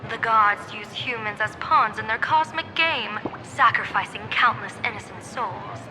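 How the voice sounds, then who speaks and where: A woman's voice: fairly high pitch, expressive, unhurried, rough recording. A woman voicing an enigmatic, shrewd general and fortune-teller in a video game, poised and formal with a teasing, imperious streak. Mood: intrigued, grave. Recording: loud music over the voice.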